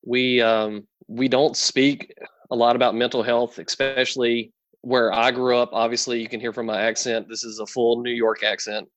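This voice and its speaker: A man's voice: mid-range voice, moderate pace, no echo. Man sharing his story. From North Carolina. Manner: friendly, relaxed storytelling with frequent fillers. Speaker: guest. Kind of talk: casual interview conversation. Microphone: video call.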